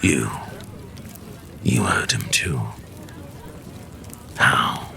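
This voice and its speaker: A man's voice: rumbling low, big pitch swings, extremely slow, sharp tone, a little hiss or hum, heavily acted. A man playing a proud, defiant, power-hungry tyrant in a fantasy audio drama, with a growling, sneering, imperious delivery. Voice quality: gravelly, growling.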